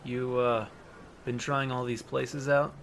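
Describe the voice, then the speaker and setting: An actor playing a slick, talkative, cocky young yakuza in a video game fan dub, over guitar music. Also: male voice, medium pitch, flat delivery, takes it slowly, a bit bright, slight background noise. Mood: chummy, boastful. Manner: cocky, chatty, casual.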